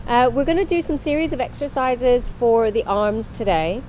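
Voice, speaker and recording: Woman, fairly high voice, exercise instructor, instructional delivery, repeated cues, light ambient noise. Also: animated, normal speed, a little mellow, poor audio. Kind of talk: spoken exercise demonstration.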